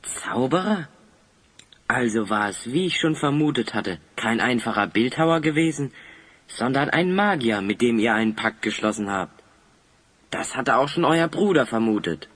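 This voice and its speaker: A decisive, curious leader of adventurers in a fantasy audio drama. His medium-pitched voice moves in lively swings, expressive and theatrical. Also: moderate pace. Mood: pondering. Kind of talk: German fantasy audio play acting.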